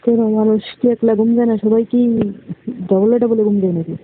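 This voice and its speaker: Bangladeshi woman, quite high voice, cheeky casual banter over a narrow, slightly noisy phone line. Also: steady tone, brisk. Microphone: phone call. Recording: tinny phone line with noise.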